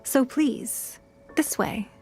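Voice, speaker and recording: A woman's voice: high-pitched, animated, drawn-out words, a little mellow, soft background music, exaggerated voice. A woman playing a powerful, self-assured noblewoman in a video game, speaking in a composed, formal, knowing manner. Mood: gracious. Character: poised, shrewd, powerful noblewoman leader, graciously self-assured. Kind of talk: video game character acting.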